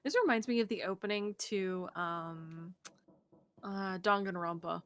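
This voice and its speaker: A woman's voice: medium pitch, unhurried. A woman streamer reading a visual novel's narration aloud, with casual asides. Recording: faint background noise.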